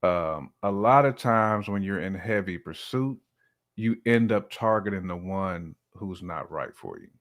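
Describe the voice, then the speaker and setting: Low-voiced man in casual, reflective discussion. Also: measured pace. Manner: reflective, explanatory, colloquial. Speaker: podcast guest.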